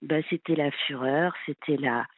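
A woman in her fifties from France calling a late-night radio show, halting and hesitant with many fillers, heard over a phone line. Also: low voice. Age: 50s. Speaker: caller.

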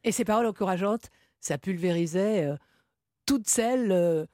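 A woman hosting a radio interview, asking polished questions with drawn-out fillers. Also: medium pitch, dry recording, clean studio sound. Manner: polished, warm leading questions, stretched fillers. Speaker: radio host.

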